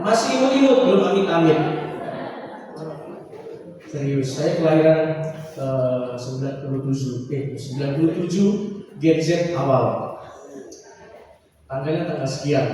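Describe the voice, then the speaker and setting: Young priest, chatty and self-joking. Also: male voice, on the high side, background noise. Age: 20s. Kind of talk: prayer-meeting talk. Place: church hall. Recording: roomy hall reverb, distant mic.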